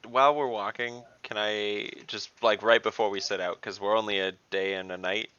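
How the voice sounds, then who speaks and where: A man playing an online tabletop game, talking casually and haltingly as he restarts his questions. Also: fairly low pitch, steady tone, sharp tone, clean tone, muffled recording. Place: indoors, online voice call.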